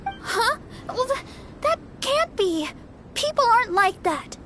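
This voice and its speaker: A woman's voice: very high-pitched, sing-song, slow, sharp tone, a little hiss or hum, heavily acted. A woman playing a timid, trusting, easily flustered young event organizer in a video game, hesitant, stammering and earnest.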